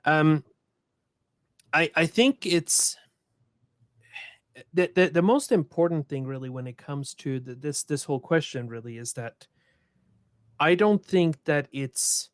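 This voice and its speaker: A man's voice: fairly high pitch, animated, takes it slowly, long pauses, dry recording, clean studio sound. A man from Sweden moderating a conference panel, speaking thoughtfully with many restarts and repeated words.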